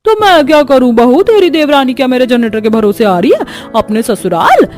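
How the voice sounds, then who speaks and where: A woman's voice: high voice, very animated, fairly quick, darkish tone, faint music behind. A woman voicing a selfish, stubborn older neighbour in a cartoon story. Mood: scornful. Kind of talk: Hindi animated story dialogue.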